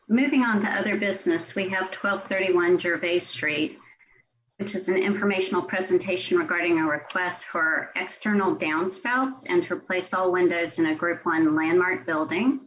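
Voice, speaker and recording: A woman's voice: lowish voice, steady tone, moderate pace, a bit bright, a little hiss or hum. A woman acting as meeting clerk, making formal procedural announcements. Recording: dull lo-fi meeting audio.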